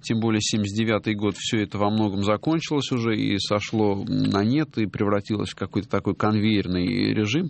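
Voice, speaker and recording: A middle-aged man hosting a music radio show, talking casually and hesitantly, with many drawn-out filler pauses. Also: lowish voice. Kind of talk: casual radio talk reading listener messages.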